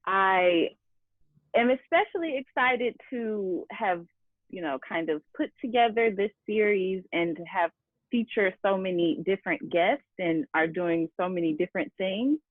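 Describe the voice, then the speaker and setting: A woman's voice: medium pitch, measured pace, no echo. A woman hosting an interview series over a video call, talking in a rambling, repetitive way. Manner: warm, rambling, repeats words. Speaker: interview host. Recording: dry, phone-like call audio.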